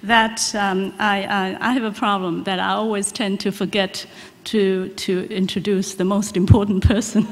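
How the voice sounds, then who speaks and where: A woman's voice: medium pitch, level tone, normal speed. A woman moderating a forum, introducing the speaker in an informal, hesitant way. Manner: informal, hesitant, laughing at herself. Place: large lecture hall. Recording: long hall reverb with audience noise.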